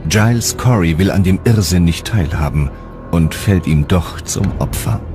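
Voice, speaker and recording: A man's voice: low-pitched, moderate pace. A man delivering scripted, dramatic narration for a documentary. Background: music.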